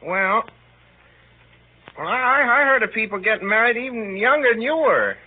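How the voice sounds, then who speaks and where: A man's voice: sits high, very animated, moderate pace. An actor playing an earnest, know-it-all teenage boy in a 1930s radio comedy, rambling on insistently. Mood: earnest boasting. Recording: muffled old 1930s radio broadcast.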